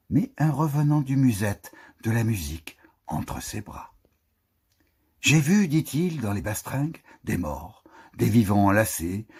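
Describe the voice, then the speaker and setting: Husky low-voiced man reciting poetry. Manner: declamatory, dramatic swells dropping to hushed phrase endings. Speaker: poetry reader. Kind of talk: theatrical, expressive poetry recitation.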